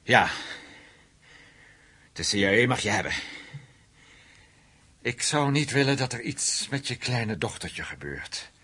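A man's voice: mid-range voice, big pitch swings, slow pace, long pauses, sharp tone, husky, heavily acted. A man acting in a radio play as a hard, vengeful investigator, menacing and sardonic as he interrogates. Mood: quietly menacing. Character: hard, vengeful male investigator, survivor of Nazi camps.

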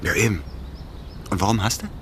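An actor playing a chatty, naive working-class ex-convict in a naturalistic radio crime drama, speaking colloquially and jovially. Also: male voice, lowish voice, brisk, a bit bright, a little hiss or hum, natural voice. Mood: curious, blunt. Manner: colloquial, jovial, simple-minded.

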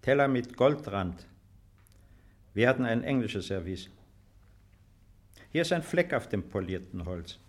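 An actor playing an uneasy, nostalgic displaced farmer in a 1950s German radio play, musing and hesitant. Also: male voice, medium pitch, big pitch swings, a little slow, pauses often. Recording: faintly dated 1950s radio recording.

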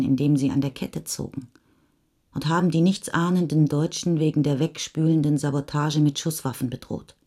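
Low, husky woman reading literary prose. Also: warm tone. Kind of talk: scripted literary narration for radio.

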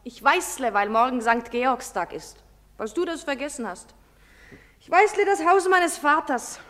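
High-voiced defiant village girl. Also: lively intonation, a little slow, fairly bright tone. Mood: defiant, annoyed. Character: defiant nineteen-year-old village girl, engaged, wary. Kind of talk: German stage drama acting. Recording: echoey theatre-style hall reverb.

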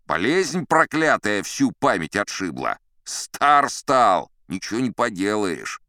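A grand old sea captain, acted by a male narrator, gruff and booming in an adventure audiobook. He is blustery yet formally courteous, with big swooping intonation and a resonant tone. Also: very animated, slow pace, sharp tone, no echo, studio quality, exaggerated voice. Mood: blustery, apologetic. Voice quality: booming, thunderous.

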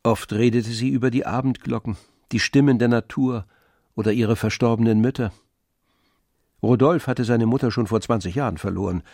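A man narrating a literary radio drama in a measured storytelling manner. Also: mid-range voice, a little mellow, no room sound, studio quality.

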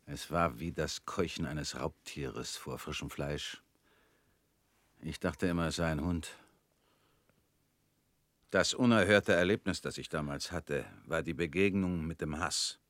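An actor in a radio play voicing a weary former prisoner, measured and subdued. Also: male voice, very deep voice, a bit bright, no echo, studio quality. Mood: haunted recollection. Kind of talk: radio drama acting.

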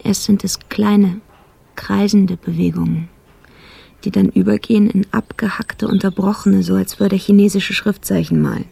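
A woman playing a watchful observer in a radio drama, narrating small gestures in a hushed, detached and precise way. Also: mid-range voice, fairly even intonation, normal speed, gravelly, husky. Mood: hushed, observant.

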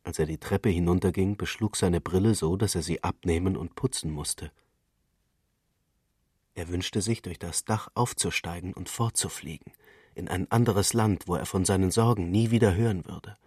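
A man narrating literary fiction for radio in a measured, deliberate storytelling manner. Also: sits low, darkish tone, husky, dry recording, studio quality.

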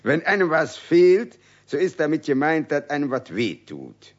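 A man's voice: fairly high pitch, big pitch swings, a little slow. A man playing a kindly, slightly bumbling craftsman in a children's radio play, good-natured and reassuring with a faltering delivery. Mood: patient, amused. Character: kindly, placating, bumbling craftsman uncle figure. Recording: dull, lo-fi old radio recording.

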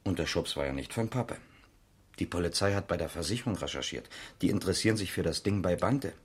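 A sceptical police detective in a radio play. His voice is very low and husky, his manner colloquial, cynical and exasperated. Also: lively intonation, fast talker. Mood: exasperated, speculating. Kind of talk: 1970s German radio crime drama acting.

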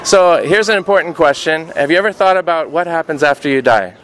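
An American man working as a radio host and interviewer, speaking clearly and deliberately. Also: a little high, a bit bright. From United States. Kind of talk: radio intro and street interview.

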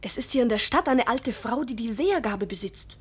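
An actress playing a knowing young confidante in a radio drama, teasing and conspiratorial, with a breathy voice. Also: female voice, on the high side, animated, brisk. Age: young adult.

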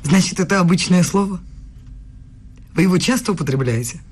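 An actress playing a proud, wounded young student in a radio play, with a low husky voice and pointed delivery. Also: female voice, lively intonation, a little fast, a little mellow. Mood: indignant. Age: young adult. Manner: pointed, challenging.

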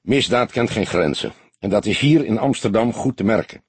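An old detective from an audiobook crime story, voiced by a male narrator. He sounds husky and low-key, gruff yet modest, with dry, sardonic understatement. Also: expressive, moderate pace, no room sound, exaggerated voice. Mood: weary, joyless. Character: gruff, modest old Amsterdam police detective, dryly mocking. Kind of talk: audiobook character voice.

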